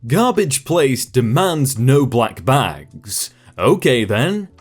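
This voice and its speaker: A man narrates online stories with a lively, swinging delivery, reading each post like a told tale. His pitch sits in a middle range but moves around a lot. Soft music plays faintly underneath. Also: slow pace, slightly rough. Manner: lively storytelling reading with short comments between stories. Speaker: narrator reading reddit posts. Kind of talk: scripted story narration.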